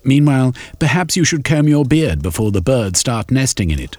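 A man voicing a vain, touchy gentleman at a club dinner, pompous and petulant. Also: mid-range voice, big pitch swings, normal speed, dry recording, voice pushed up.

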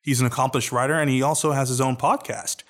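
A man's voice: medium pitch, steady tone, fast, fairly bright tone. A man co-hosting a podcast, talking casually and thoughtfully, often restarting his sentences as he searches for words. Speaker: podcast co-host.

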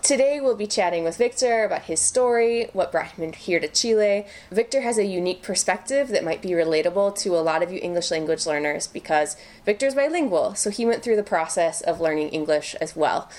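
A woman's voice: medium pitch, animated, brisk, a little mellow. A woman from the northern United States co-hosting a casual chat. She is upbeat and encouraging and keeps affirming the guest with short replies. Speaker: podcast co-host.

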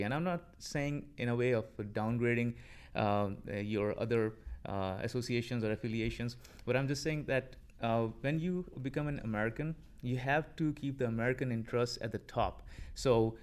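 A man in his thirties from Pakistan with a Pakistani accent, speaking haltingly with frequent fillers as a podcast interview guest. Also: mid-range voice, clean tone. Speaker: interview guest, political commentator.

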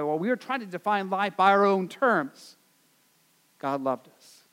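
A man's voice: fairly high pitch, clear, resonant tone, in a large hall. An American man preaching a sermon as pastor, earnest and repetitive, with many fillers and restarts. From United States.

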